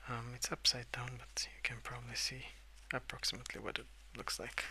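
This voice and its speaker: A young adult man softly rambling in a breathy half-whisper for ASMR, relaxed and unhurried, close to the microphone. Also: mid-range voice. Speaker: ASMR video creator. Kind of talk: soft-spoken ASMR rambling while colouring.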